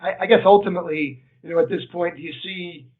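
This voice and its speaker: Analyst guy phoning in questions, kinda high voice, casual and halting, tinny line. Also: steady tone. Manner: informal, hesitant phrasing with frequent "like". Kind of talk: unscripted conference-call questioning. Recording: narrow tinny phone line.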